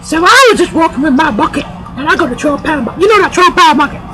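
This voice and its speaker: A performer voicing a loud, sassy older woman guest on a comic talk show, rambling and calling everyone "child". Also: high-pitched, animated, fast talker, music in the background, poor audio. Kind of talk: comic sketch.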